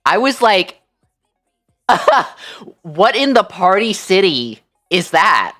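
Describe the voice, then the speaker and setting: A woman livestream host telling a story casually, in an animated, incredulous, slangy way. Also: mid-range voice, very slow, soft background music.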